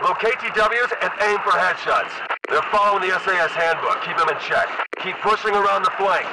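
A man playing an aggressive mercenary soldier in a combat video game, with forceful, clipped call-outs. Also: sits high, fairly quick, natural voice. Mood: tense, aggressive. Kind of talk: video game battle chatter. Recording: very noisy battlefield radio, distant voice.

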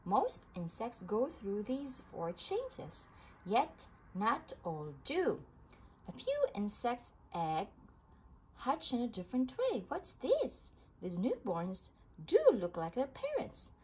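Slow, lively woman reading to children. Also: fairly high pitch. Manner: animated storybook delivery with emphasis for young listeners. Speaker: reader of a children's book. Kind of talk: expressive read-aloud of a children's science book. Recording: clearly noisy home recording.

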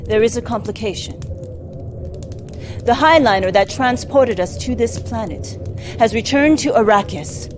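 An actress playing a cold, formal adviser in a science fiction game, briefing in a measured, clinical way. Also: female voice, fairly high pitch, slight background noise. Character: cold, formal woman adviser of a scheming merchant house. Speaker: mission briefing officer. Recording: music behind the voice.

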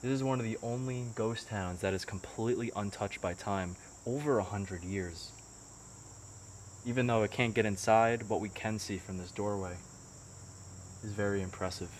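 A young man filming himself as he explores a ruined town, narrating what he finds in a curious, hushed way. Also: fairly low pitch, normal speed, slight background noise. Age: young adult. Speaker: urban explorer vlogger. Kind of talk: walking exploration commentary. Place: outdoors and inside ruined buildings. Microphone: handheld camera held at arm's length.

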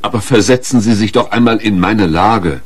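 An actor playing an earnest, placating questioner in a German radio crime drama, with a deep, very raspy voice and a polite, measured manner. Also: male voice, no room sound. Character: earnest, placating man questioning a distraught widow.

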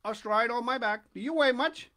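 A woman voicing a large, helpful male pigeon in a storybook, brisk and declarative. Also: on the high side, sharp tone, voice pushed up.

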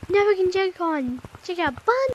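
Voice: extremely high pitch, lively intonation, moderate pace, highs cut off, a little hiss or hum. A player chatting casually in a VR game, with playful, exclamatory role-play. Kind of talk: casual chat while playing a VR game.

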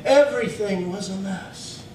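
Midwestern man, pastor, high voice, slow emphatic sermon, heard from afar in a booming church with faint hum. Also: very echoey, recorded from a distance. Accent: Midwestern American. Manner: slow, emphatic preaching with casual grammar. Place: church sanctuary.

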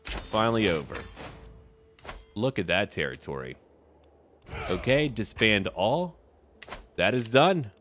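Deep-voiced man doing animated game commentary. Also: slight background noise. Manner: talks aloud to game characters. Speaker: gaming video host. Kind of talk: casual gameplay commentary.

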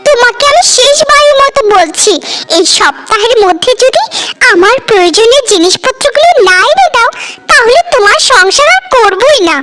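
A woman's voice: very high voice, lively intonation, moderate pace, a bit bright. A woman voicing a jealous, nagging young wife in a cartoon family drama, complaining and sarcastic. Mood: nagging, resentful. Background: music.

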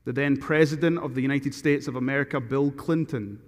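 A middle-aged man from Scotland with a thick Glaswegian accent, preaching a sermon as guest preacher and reading scripture with slow, earnest emphasis at a podium mic. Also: mid-range voice, steady tone. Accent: Glaswegian Scottish. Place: large church sanctuary. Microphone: podium microphone. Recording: long church reverb.

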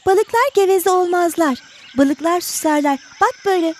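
Cheeky little fish, super high, telling others off, cartoon. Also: female voice, moderate pace, a little hiss or hum. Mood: chiding. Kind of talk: children's educational cartoon acting.

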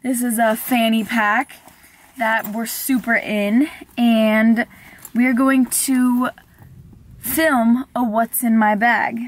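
A woman's voice: on the high side, steady tone, slow. Chatty young woman vlogging in a car. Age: young adult. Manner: chatty, giggly, says "like" often. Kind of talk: casual vlog chat. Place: inside a parked car. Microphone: camera on the dashboard.

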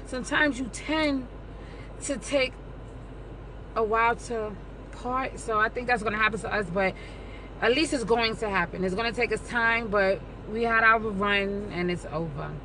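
A woman's voice: a little high, moderate pace, bright, forceful tone, slight background noise, poor audio. Middle-aged woman, playful and talkative vlogger on a close-held phone. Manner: playful, talkative, sing-song asides. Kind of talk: casual chat to camera. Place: living room at home, then parked car. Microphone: phone camera held close.

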